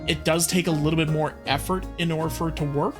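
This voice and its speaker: A host reacting to an art video and chatting casually about drawing techniques, with relaxed, rambling delivery and plenty of fillers. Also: mid-range voice, fast. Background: background music.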